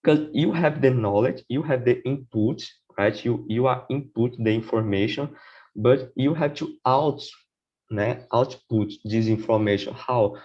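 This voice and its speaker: A man's voice: mid-range voice, a little mellow, lo-fi sound. A man, a podcast guest in casual conversation, who often restarts his sentences and keeps checking in with "right?". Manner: halting, many restarts, repeats "right?".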